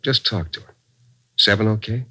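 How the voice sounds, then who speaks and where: A man's voice: lowish voice, normal speed, bright, forceful tone, husky, no room sound. An American man playing an eager, friendly thirty-year-old would-be recruit in a 1940s radio crime drama, speaking in a genial, earnest, informal way.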